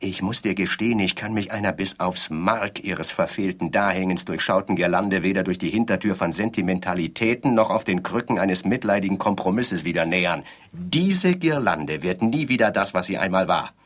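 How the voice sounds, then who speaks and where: An actor playing a pompous, touchy bridegroom in a radio drama, speaking in pedantic, stilted, over-elaborate sentences. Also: male voice, low voice, normal speed, fairly bright tone. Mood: indignant. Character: pompous, touchy middle-class bridegroom on his wedding night. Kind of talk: theatrical radio drama dialogue.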